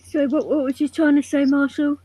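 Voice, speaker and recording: A woman's voice: high voice, flat delivery, a little fast, mellow, no echo. A woman with a mixed accent chatting casually on a live stream.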